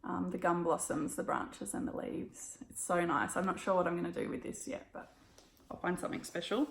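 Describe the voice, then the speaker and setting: An Australian woman with a croaky, hoarse voice, chatting casually through a stitching haul with lots of ums and little laughs. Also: fairly low pitch, steady tone, brisk, echoey room, a little hiss or hum, low-quality recording. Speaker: cross-stitch vlogger. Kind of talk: casual show-and-tell recap.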